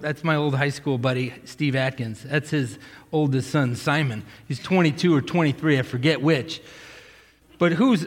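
A man from Massachusetts leads a sermon in a loose, joking way, often breaking off and restarting his sentences. His voice sits a little high for a man. The church sanctuary gives his voice a long, lingering reverb. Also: fairly bright tone. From Massachusetts, USA. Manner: casual, joking, self-interrupting preacher. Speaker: pastor. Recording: hall reverb.

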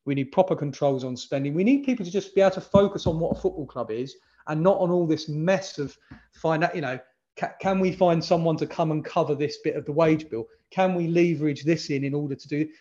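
Guy on a podcast, kinda high voice, blunt and emphatic, muffled lo-fi sound. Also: a little fast, warm tone. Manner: emphatic, blunt, with frequent restarts. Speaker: podcast guest, fan engagement consultant. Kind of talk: casual podcast conversation.

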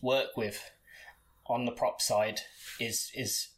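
A chatty man in his late twenties hosts a casual podcast from his bedroom. His voice sits at a middle pitch, and he stumbles and restarts often, laughing easily between his thoughts. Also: steady tone, slow pace, fairly bright tone. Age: 20s. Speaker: podcast host.

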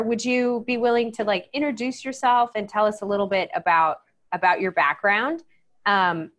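A woman's voice: mid-range voice, fairly bright tone. A woman hosting a podcast, talking in an informal, upbeat, conversational way. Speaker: podcast host. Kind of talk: casual conversational interviewing.

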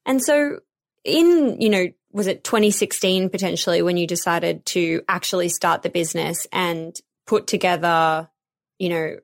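A woman's voice: on the low side, moderate pace, no room sound, clean studio sound. A woman hosting an interview, speaking in a conversational, affirming way. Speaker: podcast host.

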